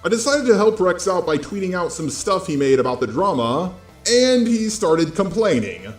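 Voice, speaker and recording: A man's voice: sits high, big pitch swings, moderate pace. A man delivering scripted online commentary in a blunt, informal way, speaking straight to his viewers. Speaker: host of online drama commentary videos. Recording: roomy echo with music underneath.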